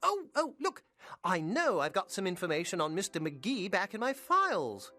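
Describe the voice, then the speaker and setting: An actress playing an odd, polite receptionist with an obsessive streak in a video game, speaking in a halting, airy way. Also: female voice, medium pitch, huge pitch swings, sharp tone, faint music behind. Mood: distracted, helpful.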